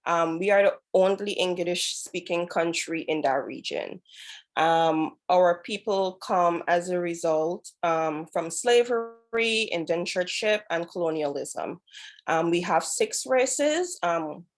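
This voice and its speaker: Lower-voiced woman intern, hesitant delivery. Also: animated, slow. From Guyana. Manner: hesitant, many "um" fillers. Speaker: dietetic intern, co-presenter. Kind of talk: presenting a webinar.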